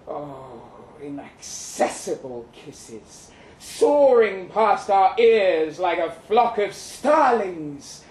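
Male reader as bitter witty young ex-soldier, theatrical, unhurried delivery, distant roomy live recording. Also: high voice, darkish tone, a little hiss or hum, full character voice. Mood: mock exasperated. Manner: theatrical, wry, florid. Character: bitter, witty crippled young Russian ex-soldier writing letters. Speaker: performer reading a short story aloud. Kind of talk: dramatic live literary reading in character. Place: live event venue in London, indoors.

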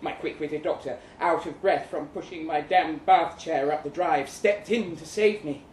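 A man giving an expressive, arch, theatrical reading of a first-person short story at a live event. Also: a little high, moderate pace. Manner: expressive, wry, arch delivery. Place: indoor live reading event before an audience. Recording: echoey live room, audible noise.

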